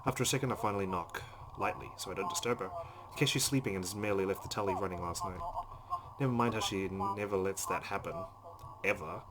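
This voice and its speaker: A man hosting a let's play, reading visual novel narration aloud with asides, over loud background noise. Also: sits low, fast.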